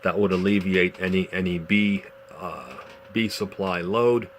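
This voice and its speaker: A man giving a casual, unscripted show-and-tell demonstration in a measured, explanatory way, pausing as he goes. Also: low-pitched. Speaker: vintage radio collector presenting a demonstration. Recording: clearly noisy amateur home video.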